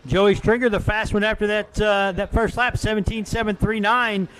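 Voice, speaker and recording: A man's voice: high voice, level tone, normal speed, fairly bright tone, clean tone. A man working as a dirt track race announcer, calling out drivers, car numbers and lap times in live commentary. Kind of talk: live motorsport commentary over a PA, reading names and times.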